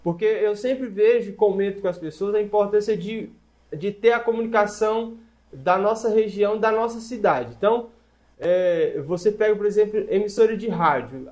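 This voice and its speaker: A man's voice: high voice, darkish tone. A man hosting an interview, asking long, rambling questions dotted with "né". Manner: rambling, with many "né" and restarts. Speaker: interview host.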